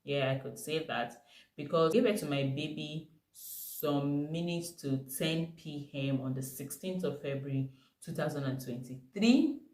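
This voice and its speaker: A young woman with a Nigerian accent telling a personal story to camera, animated and emphatic. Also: sits low. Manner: animated, emphatic retelling with bursts of laughter. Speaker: vlogger. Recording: echoey room.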